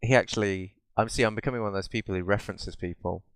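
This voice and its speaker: A British man with a fairly low, clean voice, recorded close and dry. He talks as a podcast co-host in loose, rambling banter. His delivery is sardonic and peppered with swearing. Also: quick pace, no echo. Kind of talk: casual bantering chat about video games.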